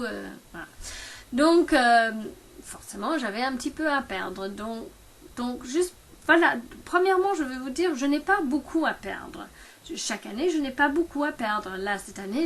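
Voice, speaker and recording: A woman in her fifties talking casually to camera about her own experience, halting often with fillers and restarts. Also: fairly high pitch, a bit bright. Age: 50s. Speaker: product distributor.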